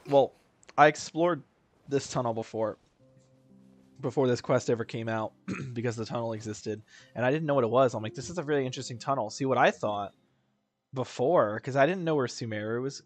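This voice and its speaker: A man giving casual live gameplay commentary as a streamer, informal and rambling, often correcting himself mid-thought. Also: medium pitch. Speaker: game streamer.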